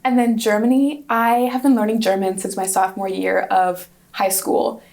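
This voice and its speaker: A woman's voice: medium pitch, level tone, normal speed, vocal fry, darkish tone. A woman in her twenties, a student guest in an interview, a native Hungarian speaker answering in a chatty, upbeat way with frequent restarts. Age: 20s.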